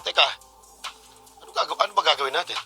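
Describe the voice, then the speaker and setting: An actor playing a flustered, reluctant boyfriend in a Filipino radio comedy drama, stammering and awkward in an exaggerated way. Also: male voice, a little high, quick pace, loud music behind the voice, lightly acted. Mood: puzzled, hesitant.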